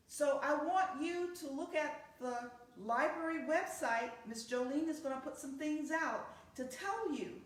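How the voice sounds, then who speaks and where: A woman with a very high, lively voice leads a children's story time, talking straight to her young listeners. Her delivery is unhurried and sing-song, and the room around her echoes heavily. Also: recorded from a distance. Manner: animated, sing-song, addressing children directly. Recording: very echoey, reverberant room sound.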